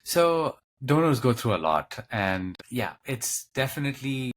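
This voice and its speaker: A man's voice: medium pitch, very animated, a little slow. A man speaking as a physician guest in an interview, explaining medical matters in plain lay terms. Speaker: expert guest, transplant physician.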